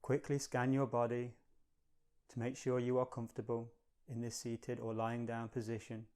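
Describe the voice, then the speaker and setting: A man leading a guided meditation in a calm, gentle, measured manner, giving slow, soothing instructions. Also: medium pitch, smooth.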